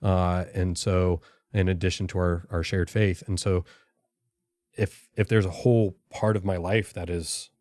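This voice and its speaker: A middle-aged man, a podcast guest and former intelligence officer, answering in a careful, halting, reflective way. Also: low-pitched, little pitch movement, darkish tone, no echo, clean studio sound.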